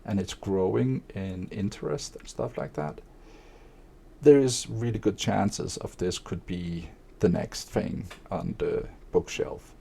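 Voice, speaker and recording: Slow, gravelly man. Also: sits low, a little hiss or hum. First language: Danish. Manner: monotone; hesitant, many fillers. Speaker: streamer and aspiring author. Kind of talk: casual spoken monologue.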